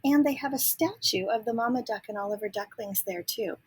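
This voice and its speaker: A woman reading a children's storybook aloud expressively, pausing to add simple explanatory asides. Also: fairly high pitch, darkish tone, slightly rough. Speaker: storybook reader.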